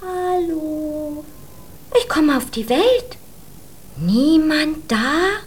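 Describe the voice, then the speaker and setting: A woman's voice: high-pitched, lively intonation, very slow, full character voice. A woman playing a wondering newborn little girl calling out in a children's radio play.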